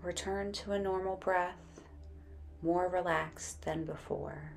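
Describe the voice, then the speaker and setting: A woman narrating a guided meditation in a gentle, soothing, unhurried manner. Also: on the low side, fairly even intonation, creaky.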